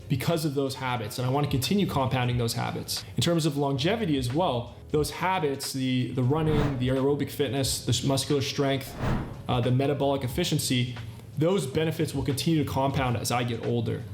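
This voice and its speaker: A man's voice: mid-range voice, darkish tone. Earnest man explaining in an echoey room. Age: 30s. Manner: earnest, explanatory, with repeated list-like phrasing. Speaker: channel host. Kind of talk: self-improvement talk. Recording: echoey room with faint music. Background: faint background music.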